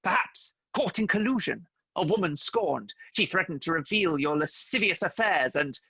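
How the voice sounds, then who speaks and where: Guy doing a deadpan bumbling detective, full of himself, bright husky voice, radio comedy. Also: high voice, moderate pace, no room sound, full character voice. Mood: accusing. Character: self-important bumbling male private detective. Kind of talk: comic radio acting with detective narration.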